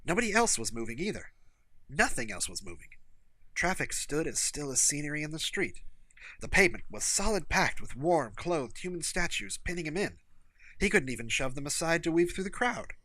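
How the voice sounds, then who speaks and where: Bright-voiced man narrating fiction, very lively intonation. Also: on the high side, moderate pace, poor audio. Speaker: audiobook narrator.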